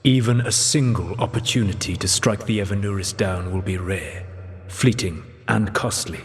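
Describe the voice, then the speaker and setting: An actor playing a grave, regretful ancient elven god in a video game, speaking in a measured, formal, solemn way. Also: male voice, fairly low pitch, slightly rough. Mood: earnest warning. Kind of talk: dramatic video game character dialogue. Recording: long echoing reverb on the voice.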